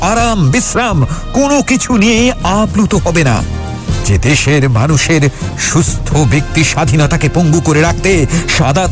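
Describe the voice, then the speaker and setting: A man's voice: high voice, lightly acted. A man playing a fearless revolutionary leader in a Bengali audio drama, declaiming solemnly and passionately to rally patriots. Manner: solemn, declamatory, impassioned oratory. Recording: dramatic music behind the voice.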